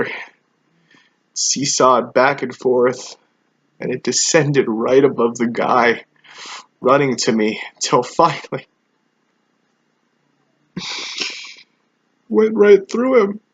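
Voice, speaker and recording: An actor playing a haunted security guard giving halting, stammering eyewitness testimony of a disaster in a dramatic monologue. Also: mid-range voice, husky, full character voice. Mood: anguished.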